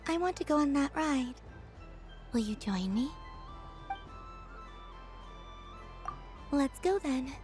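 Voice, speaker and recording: 一位女演员在游戏剧情中为温柔而略带忧思的年轻月神配音，声音轻柔带气声，常近乎耳语。. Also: female voice, high voice, animated, faint music behind. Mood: curious, gentle. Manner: slow, soft, often breathy near-whisper. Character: gentle, wistful young moon goddess, softly earnest. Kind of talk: video game story dialogue.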